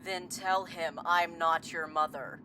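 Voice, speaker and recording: Actress as low-voiced, deadpan, sardonic woman seer. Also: slow pace, a little hiss or hum. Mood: blunt, impatient. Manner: deadpan, dry, blunt. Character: blunt, sardonic woman who sees visions. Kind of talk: audio drama acting.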